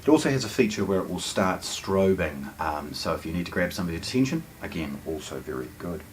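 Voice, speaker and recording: A man with a lowish voice talks through a product in a casual, explanatory way. His intonation rises and falls a great deal, and he pauses hesitantly between phrases. There is faint noise behind him. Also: very animated. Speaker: product presenter. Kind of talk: casual hands-on unboxing commentary.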